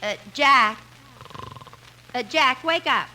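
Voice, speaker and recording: A woman's voice: high voice, lively intonation, slow pace, bright, forceful tone, full character voice. An actress playing a sardonic, bossy lady friend in a 1950s radio comedy, with dry, teasing delivery. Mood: brisk, bossy. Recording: noisy old radio recording.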